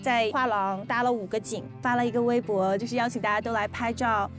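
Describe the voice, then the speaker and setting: A woman from Urumqi with a fairly high voice, an artist, talks enthusiastically about her work in an interview. Music plays underneath her. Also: steady tone, brisk, fairly bright tone. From Urumqi, China. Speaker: photographer and artist.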